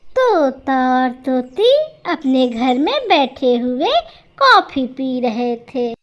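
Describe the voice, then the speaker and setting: A woman's voice: high-pitched, big pitch swings, drawn-out words, highs cut off. A woman reading scripted narration for a children's storybook cartoon.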